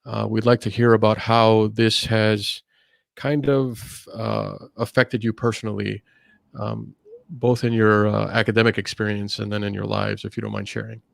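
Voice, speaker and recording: A middle-aged man hosts an online panel with a low, gravelly voice. He talks in a relaxed, easygoing way and leans on fillers. The sound is dry and close. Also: normal speed, dry recording. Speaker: host.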